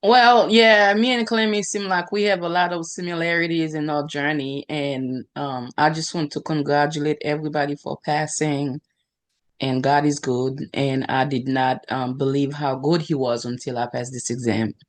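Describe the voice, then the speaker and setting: A woman whose first language is Creole, giving a soft-spoken, emotional testimony on a call. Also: low voice, steady tone, moderate pace. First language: Haitian Creole. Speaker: counselor exam passer. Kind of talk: personal testimony on a video call.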